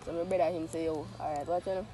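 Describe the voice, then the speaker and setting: A young Jamaican man with a high voice spins stories for his friends. He talks in animated slang and swears freely, with some outdoor noise behind him. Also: very fast, low-quality recording. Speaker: storyteller in a group of friends. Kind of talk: casual group storytelling.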